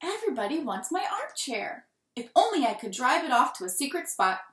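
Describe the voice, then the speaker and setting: A woman voicing an imaginative, determined and indignant little girl in a storybook, with emphatic, drawn-out declarations. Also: high-pitched, big pitch swings, fairly bright tone, pitched above the performer's own voice. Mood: wistful. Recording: roomy, echoing room sound.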